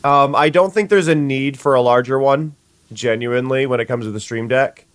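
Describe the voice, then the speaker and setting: Brief: young sniffly streamer, mid-pitch man's voice, chatty with restarts, dry booth sound. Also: normal speed, dry recording. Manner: chatty, stumbling restarts, greets viewers. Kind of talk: casual gameplay chat.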